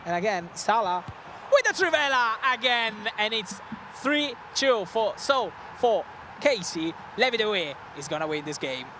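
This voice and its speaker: Excitable Italian-accented male co-commentator, high-pitched voice, noise audible behind him. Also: normal speed. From Italy. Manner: excitable, laughs often, non-native phrasing. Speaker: esports co-commentator. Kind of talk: live esports commentary.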